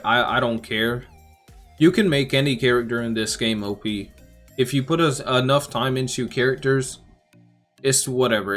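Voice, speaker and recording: A man giving casual game reaction commentary as a YouTuber, talking informally and rambling as he thinks out loud. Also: mid-range voice. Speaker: gaming YouTuber. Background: music.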